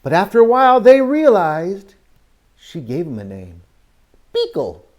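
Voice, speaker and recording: A man teaching children through story time and Bible talk, speaking in an animated storytelling style with dramatic pauses and questions to young listeners. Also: sits high, muffled recording.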